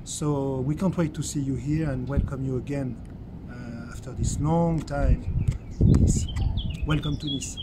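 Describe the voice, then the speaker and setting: A man giving an enthusiastic promotional welcome, pausing with fillers as he searches for words. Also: mid-range voice, steady tone, very noisy. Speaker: tourism presenter.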